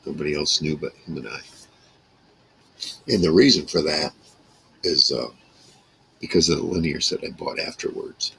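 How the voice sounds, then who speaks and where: A man in his sixties with a rough, gravelly voice, rambling casually to camera in halting phrases. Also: extremely low pitch, fairly bright tone, poor audio. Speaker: vlogger reminiscing about a radio hobby. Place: small wood-paneled room indoors.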